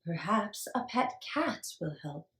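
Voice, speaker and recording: Gentle wise neighbour for a storybook, done by a woman reader: soft, soothing, unhurried, slightly roomy sound. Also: medium pitch, big pitch swings, full character voice. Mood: thoughtful. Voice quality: soft, hushed. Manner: soothing, slow, deliberate.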